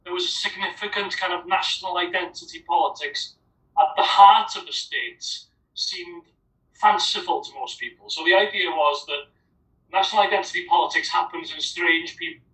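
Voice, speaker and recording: Welsh-accented man, bright and roomy. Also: high voice, normal speed. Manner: discursive, many restarts and "you know". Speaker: academic panelist. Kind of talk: panel discussion answer. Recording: roomy, distant mic.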